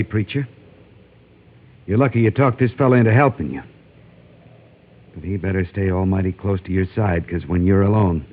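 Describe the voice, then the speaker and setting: Deep-voiced menacing frontier gunman played by an actor. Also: phone-quality sound, close to an everyday voice. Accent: American. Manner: drawling folksy threats. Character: menacing slight graying Old West gunman bent on killing. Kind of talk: old-time radio western acting. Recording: thin, hissy vintage radio recording.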